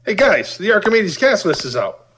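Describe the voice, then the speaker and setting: A man's voice: sits high, big pitch swings, moderate pace, a bit bright, slight background noise. A man playing an enthusiastic, teasing crew member in a scripted audio comedy skit.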